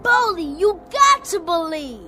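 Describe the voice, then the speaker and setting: A very high, bright little boy, earnest and insistent in a radio drama. He speaks slowly and pleads with a child's full belief. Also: big pitch swings, soft background music, heavily acted. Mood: desperate. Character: earnest young boy who believes in magic.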